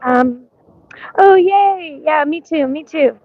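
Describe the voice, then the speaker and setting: Woman with a mixed-up accent, raspy from coughing, chatting loosely on a phone stream outside, bit of a higher voice, muffled audio. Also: slow, no room sound. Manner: rambling, many ums, greets viewers by name. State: gravelly, scratchy from coughing. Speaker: livestreamer. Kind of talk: casual livestream chat. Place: outdoors at a street parade.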